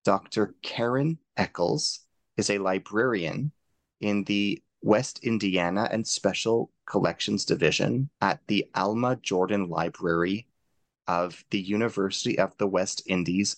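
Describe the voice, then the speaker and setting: A man's voice: lowish voice, measured pace, no room sound. A man hosting a podcast, introducing his guests in a formal, ceremonious way. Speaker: podcast host.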